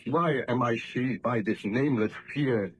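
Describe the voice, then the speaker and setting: An actor playing a tormented, fearful scientist in an old radio horror drama, speaking in a formal, portentous and theatrical way. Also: male voice, mid-range voice, a little slow, slightly rough. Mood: haunted, brooding.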